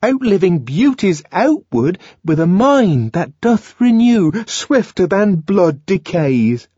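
A desperate, earnest young man pours out his feelings in poetic lines, as a male narrator puts him on. His voice sits high and pleading, with a slightly husky grain. The storybook recording sounds dull and dry. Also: sing-song, slow pace, mellow, no echo, exaggerated voice. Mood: impassioned. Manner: impassioned, pleading, quoting verse.

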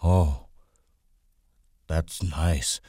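A man's voice: a bass voice, fairly even intonation, dark tone, slightly rough, dry recording, studio quality, full character voice. A man voicing a childlike, simple big man from a storybook, eager and easily panicked, with ungrammatical rustic speech. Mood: childlike delight. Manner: ungrammatical rustic speech, slow-witted and repetitive.

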